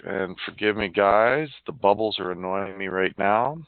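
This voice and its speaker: A man's voice: on the low side, normal speed, smooth, no room sound. Man on a phone-like line, informal presenter. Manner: informal, chatty, muttering to himself while working. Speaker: software demo presenter. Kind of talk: casual live software demonstration. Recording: narrow, phone-like line, dry.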